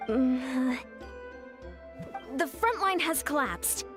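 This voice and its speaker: A woman's voice: high voice, expressive, takes it slowly, fairly bright tone, soft background music, full character voice. A woman playing an earnest, articulate young military officer in an anime video game. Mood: serious.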